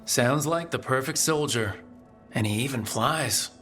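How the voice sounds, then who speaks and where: A steady fantasy game hero with a medium-pitched voice. He talks casually, with a dry, supportive manner. Soft music sits behind the dialogue. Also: measured pace. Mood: wry. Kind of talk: video game dialogue acting.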